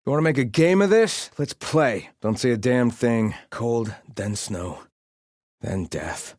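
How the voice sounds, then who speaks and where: A man's voice: medium pitch, lively intonation, unhurried, darkish tone, dry recording, studio quality, lightly acted. A man playing a haughty, devout exiled soldier in a video game, speaking in a stern, disdainful and formal way. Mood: alert, forceful, then weary, gloomy. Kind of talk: video game character voice lines.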